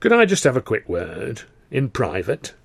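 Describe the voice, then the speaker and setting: A man's voice: mid-range voice, wildly swooping pitch, moderate pace, gravelly, breathy edge, no echo, exaggerated voice. A man voicing an imperious older theatre manager who is a born showman, theatrical and commanding. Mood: brisk.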